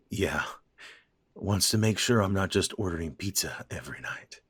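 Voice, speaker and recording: An actor playing a stiff, uneasy middle-aged father in an audio drama, talking in gruff, clipped lines. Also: low voice, animated, measured pace, dry recording, clean studio sound, heavily acted. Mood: warm.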